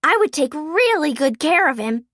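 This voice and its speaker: Woman as an eager, high-pitched little boy guppy. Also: big pitch swings, moderate pace, fairly bright tone, dry recording, clean studio sound.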